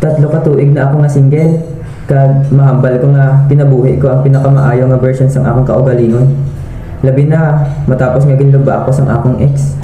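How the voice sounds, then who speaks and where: Courteous young sales manager romancing a colleague, male amateur, very soft dark tone, echoey radio-drama recording. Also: medium pitch, little pitch movement, brisk, lightly acted. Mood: earnest, curious. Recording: very echoey room, clear background noise.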